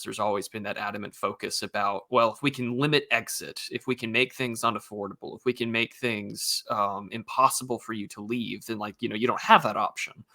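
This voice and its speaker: A man's voice: on the low side, sharp tone. A man hosting a podcast, thinking aloud in a casual chat with restarts and wry asides. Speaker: podcast host.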